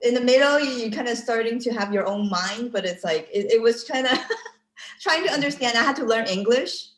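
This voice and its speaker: A woman from Taiwan, answering in a halting way with laughs between phrases. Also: on the high side, animated, fairly bright tone. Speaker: guest author. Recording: echoey room.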